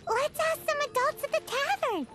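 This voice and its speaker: A tiny fairy girl with an extremely high, swooping voice. She is chirpy, exclamatory and inquisitive, a childlike video game sidekick with music playing behind her. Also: expressive, moderate pace. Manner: refers to self in third person, exclamatory. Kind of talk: video game cutscene voice acting.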